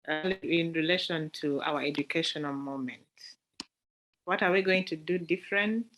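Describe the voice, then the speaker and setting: A woman's voice: deep voice, moderate pace, a bit bright, slight background noise. A woman with a Ugandan accent hosting an online club meeting, hesitant and full of fillers. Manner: hesitant, fillers and repeated words. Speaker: meeting host. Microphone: video call.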